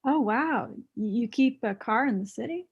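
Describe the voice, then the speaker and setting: Comedy table read: resentful young ex-girlfriend played by a woman, slightly high voice, deadpan and confrontational. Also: normal speed, slightly rough, dull audio. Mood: guarded, dry. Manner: blunt, deadpan, pressing.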